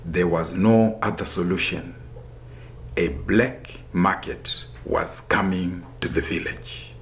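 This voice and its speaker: A man reading a scripted folktale as a storyteller, in a deliberate, expressive manner. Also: sits low, a little hiss or hum.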